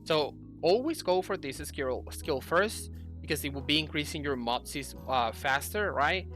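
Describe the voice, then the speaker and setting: A man's voice: on the high side, lively intonation, unhurried, fairly bright tone. A young man giving casual game commentary, explaining things and thinking aloud as he plays. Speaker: gaming YouTuber. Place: indoor room at a computer. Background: game music.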